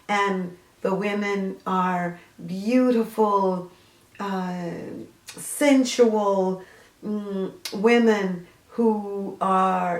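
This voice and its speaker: A woman hosting a book series, gushing about stories and reading excerpts aloud with frequent fillers. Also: mid-range voice. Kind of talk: casual book review with read-aloud excerpts. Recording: roomy echo.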